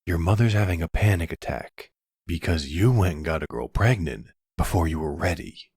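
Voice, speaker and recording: A man playing a suave middle-aged mafia boss in a boyfriend audio roleplay, gravelly, measured and wry, commanding but capable of tenderness. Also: low-pitched, expressive, darkish tone, no room sound, studio quality, exaggerated voice. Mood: scolding, threatening. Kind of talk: ASMR boyfriend roleplay acting.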